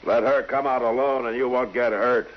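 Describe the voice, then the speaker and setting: A man playing a stern, steady frontier lawman in 1950s western radio drama style. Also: mid-range voice, moderate pace, natural voice. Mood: commanding, grim. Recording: muffled, noisy old radio recording.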